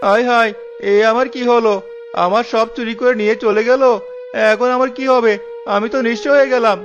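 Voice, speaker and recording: A man acts out a tight-fisted village husband from a cartoon, always scolding and complaining. His voice sits high and theatrical. The sound is dull and lo-fi, with faint music underneath. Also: steady tone, fairly quick. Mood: wailing. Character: stingy, scolding village husband, quick to wail. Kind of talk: cartoon dialogue. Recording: dull lo-fi audio with music behind.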